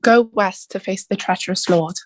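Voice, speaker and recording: A woman playing a grave, regretful old male mentor in a theatre table read, speaking in a measured, apologetic way. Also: medium pitch, close to an everyday voice. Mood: grave, urgent.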